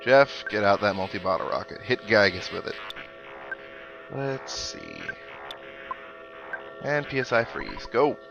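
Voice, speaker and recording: A man's voice: fairly low pitch, very animated, measured pace, gravelly, long pauses, muffled recording. A man giving casual video game commentary, thinking aloud with analytical asides as he plays. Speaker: let's player. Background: video game music and sound effects.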